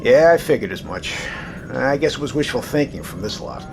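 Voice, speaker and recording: A male actor playing a composed, businesslike naval commanding officer with a measured, authoritative manner in an audio drama. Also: mid-range voice, very animated. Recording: clear background noise, ambience.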